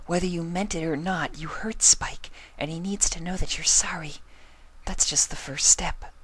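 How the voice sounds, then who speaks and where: A man voicing a timid, gentle female pony who talks in a hushed half-whisper. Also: on the high side, steady tone, moderate pace, slightly rough, voice pushed up.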